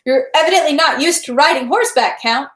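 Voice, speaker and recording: A woman voicing a brisk, hurried army adjutant in a storybook reading, with a clipped manner. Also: high voice, fairly bright tone, pitched above the performer's own voice. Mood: wry. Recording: echoey room.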